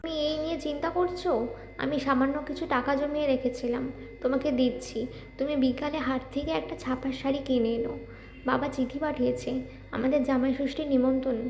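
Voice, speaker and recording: A woman playing a gentle, caring young village wife and mother in a cartoon, speaking softly and earnestly. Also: sits high, fairly even intonation, quick pace. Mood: earnest, reassuring. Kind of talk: Bengali cartoon fairy-tale acting. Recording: roomy echo, faint ambient noise.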